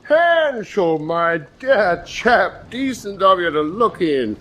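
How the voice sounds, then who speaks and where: An actor playing a vain, boastful British naval commander in a radio drama, with a pompous way of talking. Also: male voice, high voice, sing-song, takes it slowly, fairly bright tone, full character voice. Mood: smug, jovial. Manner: pompous, self-congratulatory.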